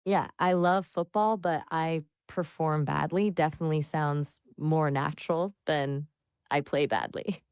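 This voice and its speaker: A woman coaching English conversation, patient and full of praise, explaining word choices clearly. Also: deep voice, fairly even intonation, measured pace, a little mellow, no echo, clean studio sound. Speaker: English tutor. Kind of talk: encouraging language coaching.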